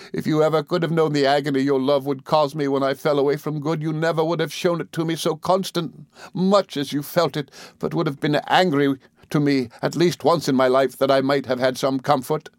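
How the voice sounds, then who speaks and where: A man reading a classic novel, voicing an anguished fallen young woman, soft, tearful and imploring. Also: fairly high pitch, no echo, studio quality, pitched above the performer's own voice, lightly acted.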